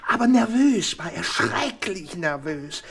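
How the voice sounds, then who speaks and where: Jittery, husky, high-voiced eccentric. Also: male voice, sing-song, a little slow, a bit bright, heavily acted. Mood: nervous, confiding. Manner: theatrical, jittery, exclaiming. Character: nervous, eccentric hypochondriac little bald Englishman, easily flustered. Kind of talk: radio drama acting.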